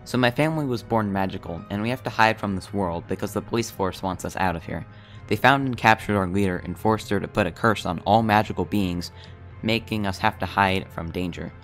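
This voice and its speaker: Teen boy hiding magic, voiced by a man; earnest, gentle, deep-toned. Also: a little fast, soft background music, close to an everyday voice. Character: young man Jacob, secretly magical, earnest. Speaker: voice actor. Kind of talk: amateur voiced game movie.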